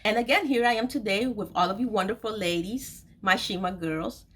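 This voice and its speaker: A woman's voice: mid-range voice, brisk, a bit bright, husky. A woman in her forties hosts a personal storytelling video. She speaks candidly and confidingly to her audience. Key phrases are stressed and often repeated for emphasis. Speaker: host of an online video series, author telling her own story.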